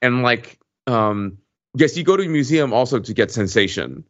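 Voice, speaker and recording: A man in a casual podcast discussion, halting as he searches for the right words. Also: mid-range voice, expressive, lo-fi sound. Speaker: podcast panelist.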